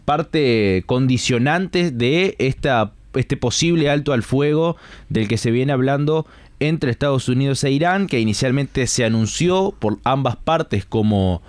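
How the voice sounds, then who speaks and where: A man with a Rioplatense Spanish accent hosting a live radio news interview, speaking haltingly as he searches for his words. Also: mid-range voice, lively intonation, no echo.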